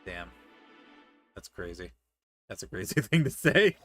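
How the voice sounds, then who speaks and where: A man's voice: lowish voice, very animated, a little slow, fairly bright tone. A man giving casual live commentary as he streams a game, making wry asides. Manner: reads game dialogue aloud, with wry asides. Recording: music behind the voice. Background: game music.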